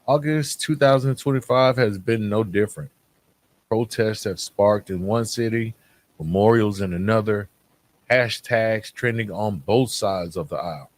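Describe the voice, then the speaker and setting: A man hosting a podcast, speaking in a reflective monologue with a measured, earnest delivery and dramatic pauses. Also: on the low side. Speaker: podcast host.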